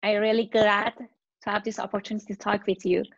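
A woman interview host whose first language is Persian, asking questions in slow, careful English over a video call. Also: mid-range voice, level tone, creaky, no echo. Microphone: webcam on video call. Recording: dull lo-fi video call audio.

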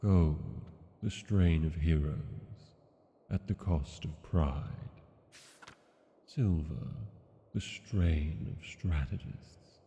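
An actor playing a brooding, dread-haunted dragon king in a fantasy audio drama, speaking gravely and haltingly. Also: very deep voice, mellow, heavily acted. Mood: grave, fearful. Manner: slow, halting, grave delivery. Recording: muffled, long cavernous reverb.

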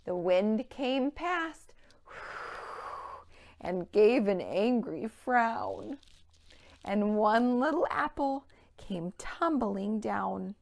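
A woman in her thirties presenting a storytime for young children, with simple, clearly phrased rhymes and counting. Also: medium pitch, expressive, extremely slow. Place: indoor room with playhouse backdrop.

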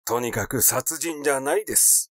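A man's voice: mid-range voice, moderate pace, fairly bright tone, no echo, studio quality, close to an everyday voice. A man voicing a cautious, defensive male doctor in an audiobook, formal and dismissive in manner. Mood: evasive, defensive.

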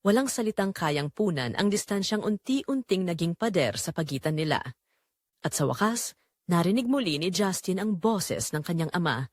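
A woman narrating a scripted dramatic story in a solemn, deliberate, emotive way. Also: on the low side, very animated, no room sound, studio quality.

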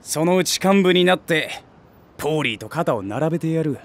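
An actor playing an ambitious, streetwise stray tomcat, a small-time gang big brother with brash, rough talk in a voice drama. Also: male voice, fairly high pitch, expressive, normal speed. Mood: cocky, scheming. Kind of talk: Japanese voice drama acting.

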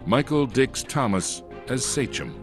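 A man's voice: medium pitch, sing-song, measured pace, music in the background. A man reading scripted credits as the announcer of an audio drama.

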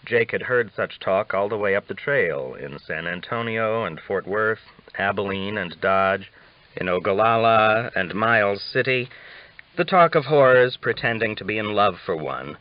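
A man with a fairly low voice narrates a novel for an audiobook. The recording sounds slightly dull and lo-fi. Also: expressive, unhurried.

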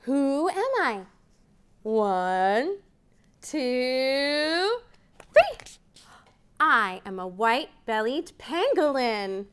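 A woman in her thirties reads a picture book to young children, her voice high and swooping with wide leaps in pitch. She takes her time, speaking slowly and clearly, with excited rising counts. She is recorded in a library room by a camera at mid distance. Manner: animated storytime reading with rising counts. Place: library program room, indoors.

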